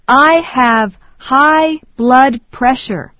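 A woman slowly reading English phrases one at a time in a language drill, with deliberate enunciation. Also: high voice, no room sound. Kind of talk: slow repeat-after-me English drill. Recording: dry, dull lo-fi studio read.

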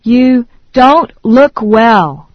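A woman slowly reading English phrases one at a time in a language drill, with deliberate enunciation. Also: a little high, animated, no echo. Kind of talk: slow repeat-after-me English drill. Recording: dry, dull lo-fi studio read.

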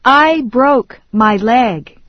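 Woman, slow careful reading, somewhat high voice, dry dull lo-fi sound. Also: lively intonation, dry recording. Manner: slow, deliberate enunciation. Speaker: English phrase reader. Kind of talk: slow repeat-after-me English drill. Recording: dry, dull lo-fi studio read.

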